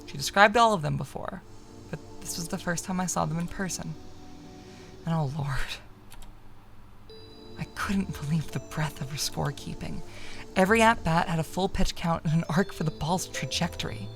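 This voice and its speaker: A woman streamer reading a visual novel aloud as narration, expressive, sometimes stumbling and correcting herself. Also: sits low, moderate pace, creaky, pauses often, faint music behind.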